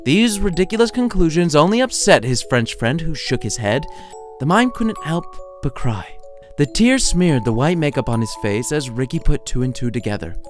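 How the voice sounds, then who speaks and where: A man's voice: fairly high pitch, very animated, moderate pace. A man reading his own short story as scripted narration, with a dramatic, playful storytelling delivery. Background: music under the voice.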